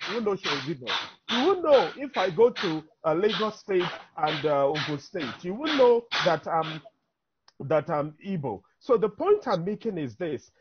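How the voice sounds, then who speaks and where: A middle-aged man with a Nigerian accent speaks as a panelist in an online discussion. His voice sits high and swings widely in pitch as he lays out his points in an emphatic, teacherly way. The sound is dull, like a low-quality video call. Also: sing-song, moderate pace, noisy background. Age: 50s. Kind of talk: impassioned speech in an online town hall discussion. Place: indoor room, on a video call.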